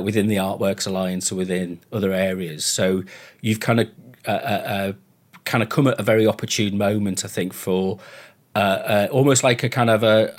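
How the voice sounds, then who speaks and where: This is a male podcast host from Liverpool with a Northern English accent. His voice sits on the low side. He talks conversationally, feeling his way through phrases with some repetition. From Liverpool, England.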